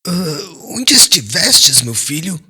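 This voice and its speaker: A man voicing a despairing old father in an audiobook, trembling and imploring in a slow, dramatic way. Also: on the high side, very animated, pushed and bright, husky, voice pushed up, full character voice. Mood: startled concern. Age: older. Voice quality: trembling, strained voice.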